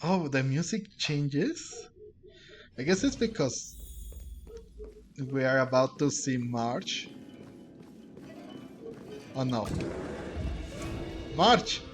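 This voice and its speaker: A man doing casual gameplay commentary, thinking aloud in a halting way and sometimes reading game text. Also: medium pitch, lively intonation. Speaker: game streamer. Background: game music.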